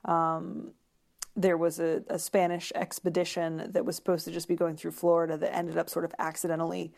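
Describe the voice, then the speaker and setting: A woman speaking as an interview guest in a conversational way, searching for words with many pauses and repeats. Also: fairly low pitch, little pitch movement, vocal fry, darkish tone.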